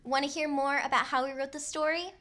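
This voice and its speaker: Slightly high-voiced woman hosting a podcast. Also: steady tone, fast talker, bright, forceful tone. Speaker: podcast host. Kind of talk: casual hosted podcast.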